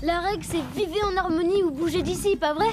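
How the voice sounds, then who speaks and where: A woman's voice: very high-pitched, a little fast. A woman voicing an eager young boy elephant in a cartoon, upbeat and a little bossy as the acting chief. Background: cartoon music score.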